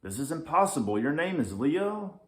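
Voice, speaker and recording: A man's voice: fairly high pitch, sing-song, moderate pace, voice pushed up. A man plays a grumpy, hyper-vigilant lynx from a children's storybook. He scolds impatiently and emphatically, in a raised voice that swoops about. The room adds a noticeable echo. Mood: flustered. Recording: roomy echo.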